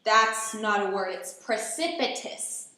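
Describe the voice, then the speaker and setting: A woman playing a supportive but sharp-tongued teenage sister, casual and matter-of-fact, in an amateur radio drama. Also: mid-range voice, expressive, slow. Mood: snappy. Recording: very echoey room.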